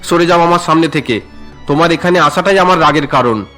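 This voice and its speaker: A man's voice: fairly high pitch, fairly quick, fairly bright tone, soft background music, close to an everyday voice. An actor voicing a resentful young man, an unwilling groom in a cartoon, whiny and indignant. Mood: irritated.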